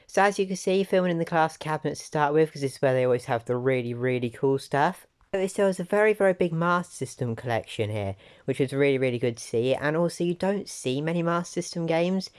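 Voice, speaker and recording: A man narrates a casual vlog about hunting for retro games. His voice is croaky from a cough and sore throat, and he talks in a chatty, enthusiastic way. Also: mid-range voice, fast talker, a little mellow. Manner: chatty and enthusiastic, repeats "really, really". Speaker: retro game collector vlogger.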